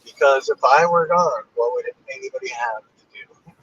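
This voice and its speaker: A man's voice: on the high side, wildly swooping pitch, moderate pace, a bit bright, slightly rough, no room sound. A man hosting a casual live stream, joking in a dry way with his co-host. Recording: dull lo-fi sound.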